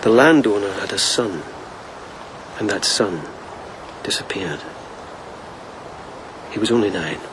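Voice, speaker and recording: Radio drama: devoted lieutenant of old Japan, lowish voice, soft and measured, noticeable ambience behind. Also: male voice, expressive, background noise. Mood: sombre storytelling. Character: gentle, wry, devoted police lieutenant of ancient Japan. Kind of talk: intimate radio drama acting.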